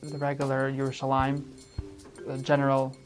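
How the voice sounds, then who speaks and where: A young man with a mid-pitched voice gives a halting testimonial, stumbling over false starts and repeating words as he searches for them. Clear music runs underneath. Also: completely flat delivery. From Chicago, USA. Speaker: teacher trainee. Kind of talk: testimonial interview.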